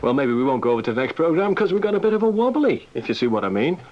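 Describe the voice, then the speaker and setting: A man's voice: a little high, very animated, rapid-fire. A man presenting live radio with chatty, breezy patter. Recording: dull lo-fi radio recording.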